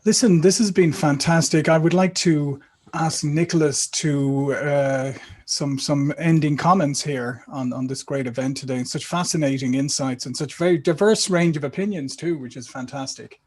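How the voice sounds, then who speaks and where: Guy hosting an online panel, upbeat, thanking everyone, voice a bit high. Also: moderate pace, darkish tone. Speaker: host. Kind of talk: panel moderation.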